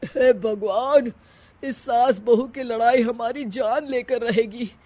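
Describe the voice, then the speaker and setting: A woman's voice: a little high, fairly even intonation, slow, dark tone, dry recording. A woman voicing a whiny, melodramatic husband in a cartoon family story, wailing in an exaggerated way. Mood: wailing in pain.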